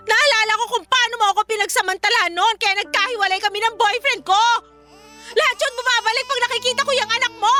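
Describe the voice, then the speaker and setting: High-pitched, bitter young Filipino mother. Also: brisk, bright, forceful tone, soft background music. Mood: bitter disgust. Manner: curt, sarcastic, confrontational. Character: bitter, resentful young mother rejecting her baby and partner. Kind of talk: Filipino radio drama acting.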